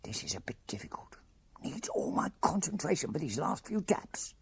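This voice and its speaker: A woman playing a coy, boastful safe-cracker in a comic radio play, excitable in manner. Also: low-pitched, moderate pace, whispered. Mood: hushed concentration. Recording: guitar music under the voice.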